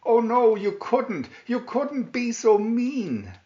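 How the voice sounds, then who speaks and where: A man voicing a gentle, kind young woman who pleads earnestly in a storybook fairy tale reading. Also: very high-pitched, very animated, slow pace, echoey room, muffled recording, pitched above the performer's own voice, full character voice. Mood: pleading. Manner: earnest, imploring.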